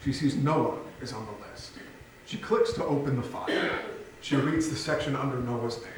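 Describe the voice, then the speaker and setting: A man's voice: mid-range voice, moderate pace. A man reading scripted stage directions as the narrator of a staged theatre reading. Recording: very echoey theatre, distant mic, faint noise.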